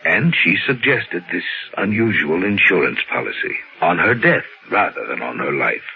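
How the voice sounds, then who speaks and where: An actor playing an earnest, dignified doctor in measured, formal 1950s radio drama style. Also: male voice, deep voice, big pitch swings, breathy edge, full character voice. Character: earnest, dignified doctor running a clinic, mature man. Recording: muffled old radio recording, background noise.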